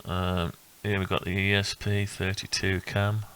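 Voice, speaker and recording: A man giving a casual, unscripted walkthrough of a hobby build, thinking aloud in a halting, rambling way. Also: sits low, level tone, fairly bright tone, clean tone. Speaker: electronics hobbyist presenting own build.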